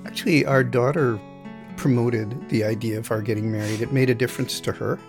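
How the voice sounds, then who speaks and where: Gravelly man telling his story. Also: mid-range voice, fairly even intonation, music in the background. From United States. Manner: reflective, measured. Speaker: interviewee. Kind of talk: personal storytelling.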